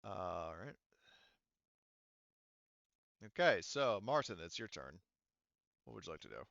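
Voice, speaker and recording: A man's voice: low voice, very animated, takes it slowly, gravelly, a bit bright, no room sound, dull audio. A man acting as game master of a tabletop roleplaying game, thinking aloud hesitantly as he works through the rules.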